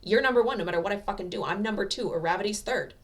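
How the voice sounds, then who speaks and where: A woman voicing a gruff, foul-mouthed young male hero who talks bluntly and forcefully in an amateur audio drama. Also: mid-range voice, very fast, close to an everyday voice.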